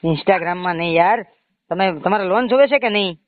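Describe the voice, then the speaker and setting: A man's voice: sits high, normal speed, no echo. A man with a Gujarati accent speaking as a loan agent on a recorded phone call, insistent, pushy and repetitive. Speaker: phone caller claiming to be a bank loan agent. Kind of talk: phone sales conversation. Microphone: phone line. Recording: muffled narrow-band phone call recording.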